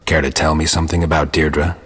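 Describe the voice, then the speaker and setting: A man playing a stoic, terse monster hunter in a video game, speaking in a dry, questioning way with a husky rasp. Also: very deep voice, level tone, brisk, fairly bright tone, no echo, heavily acted. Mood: terse, reserved. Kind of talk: video game dialogue acting.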